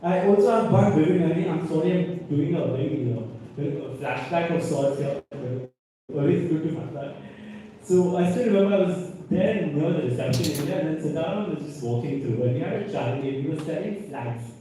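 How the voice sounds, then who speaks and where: A man's voice: a little high, lively intonation, brisk, dark tone. A young man from North India with an Indian accent, sharing anecdotes on a panel in a conversational way, often checking in with "right?". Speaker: alumni panelist, businessman. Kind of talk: informal panel talk with anecdotes. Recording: echoey hall, distant mic, faint noise.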